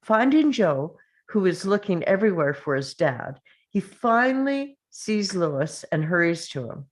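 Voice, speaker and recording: A woman's voice: lowish voice, big pitch swings, a little slow. A woman reading stage directions as the narrator of a screenplay table read.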